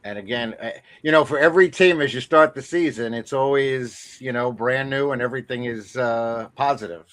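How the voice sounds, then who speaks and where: A man hosting a conversational sports interview, putting his questions politely in halting, broken-up phrases. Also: medium pitch, fairly bright tone. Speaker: interview show host.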